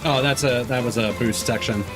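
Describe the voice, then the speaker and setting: A man's voice: medium pitch, moderate pace. A man giving casual gameplay commentary as a streamer, muttering and exclaiming at the game as he plays. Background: video game music.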